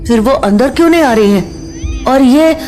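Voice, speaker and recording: A woman's voice: sits high, animated, a little slow, a little mellow, faint music behind. A woman playing a frightened, earnest young village boy in a horror audio drama, hesitant and stammering. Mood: nervous, questioning.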